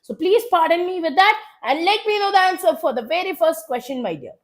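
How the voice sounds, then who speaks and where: A woman teacher with a South Indian accent speaks in a high-pitched voice made hoarse by a cold. She leads a live online revision class, urging her students along. She often repeats short phrases for emphasis. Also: very animated, brisk. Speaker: chemistry teacher.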